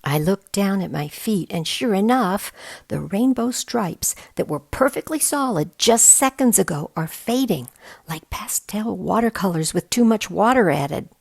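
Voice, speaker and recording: A woman reading a children's novel aloud in an expressive storytelling style. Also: medium pitch, unhurried, vocal fry, slightly rough. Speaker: volunteer reader of a children's book.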